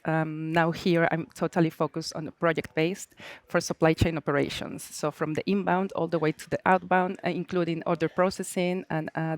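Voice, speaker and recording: A middle-aged woman from Mexico City with a Mexican accent, speaking on a conference panel with many pauses and fillers. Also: low-pitched, no echo. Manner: hesitant, many fillers, warm and open. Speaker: panelist, supply chain manager. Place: conference stage.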